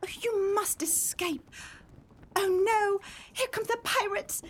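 A woman playing a fragile, innocent young girl in a radio melodrama, earnest, halting and breathless. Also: very high voice, slight background noise. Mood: urgent fear. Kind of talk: melodramatic radio play acting.

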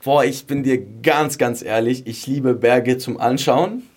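A man's voice: mid-range voice, steady tone, measured pace, darkish tone. A man in his early twenties from Greece and southern Germany, chatting candidly as a podcast guest in casual, youthful, emphatic language. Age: early 20s. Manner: candid, youthful colloquial phrasing, emphatic repetition. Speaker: podcast guest, singer and song contest contestant. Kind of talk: casual interview chat.

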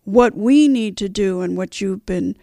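A woman's voice: medium pitch, unhurried, warm tone, no room sound. A woman hosting a radio interview, putting questions in a conversational, unscripted way.

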